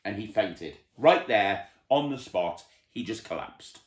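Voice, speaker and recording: Male children's entertainer: storybook narration, highly varied intonation, audible room echo. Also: expressive, a little slow. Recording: roomy, echoey indoor sound.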